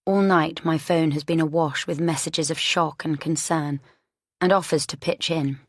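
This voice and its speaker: A female narrator reads a scripted first-person thriller audiobook. Her pitch is a little low for a woman. The sound is very dry, free of any room echo. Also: fairly even intonation, moderate pace.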